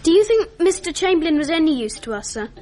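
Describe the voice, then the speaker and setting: High, lively English schoolboy. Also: female voice, fast. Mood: eager. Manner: polite, precocious. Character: eager, well-bred, inquisitive English schoolboy. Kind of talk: period radio drama dialogue. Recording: noisy archive radio drama recording.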